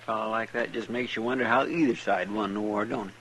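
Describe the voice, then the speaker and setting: An actor playing a folksy, excitable marshal's sidekick with a rural Western American drawl, in a comic-relief radio western manner. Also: male voice, fairly low pitch, fast, a little hiss or hum, natural voice, poor audio. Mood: folksy, incredulous.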